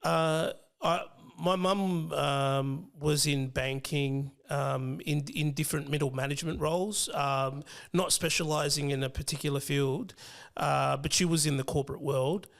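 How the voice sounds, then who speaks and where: A young man with an Australian accent, whose first language was Fijian, talking casually as an interview guest, hesitant with many pauses and restarts. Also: a little high, steady tone, vocal fry. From Western Sydney, Australia. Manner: hesitant, many ums and restarts, laughs easily. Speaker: financial planner guest.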